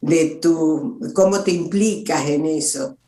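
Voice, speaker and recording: A woman hosting an interview, speaking haltingly with frequent restarts. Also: low-pitched, mellow, reverberant. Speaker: host. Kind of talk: casual interview.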